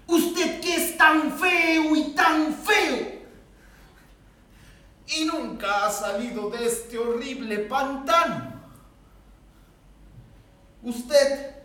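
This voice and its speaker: A man's voice: very high-pitched, sharp tone, full character voice. A man playing a humble, pleading farmhand and father in a theatrical monologue, with a Latin American Spanish accent. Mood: storytelling. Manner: emotive, drawn-out delivery with repeated pleas. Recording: very echoey room, distant microphone.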